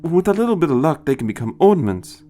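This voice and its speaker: Man voicing a brisk, practical woman, pitched up. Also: very animated, dark tone, soft background music. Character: warm, practical, snarky adult woman companion. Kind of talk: dramatized storybook reading.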